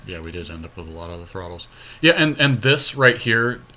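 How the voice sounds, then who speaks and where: Man, lowish pitch, forceful bright tone, casual gaming commentary, talks through options aloud. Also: sing-song, brisk. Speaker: podcast co-host playing an online card game.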